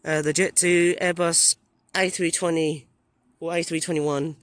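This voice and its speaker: A man with a croaky, hoarse voice from the flu, rambling and hesitant as he gives a casual running commentary while plane spotting outdoors by an airport runway. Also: fairly high pitch, little pitch movement, a little slow, fairly bright tone, dry recording. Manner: rambling, hesitant, filler-heavy.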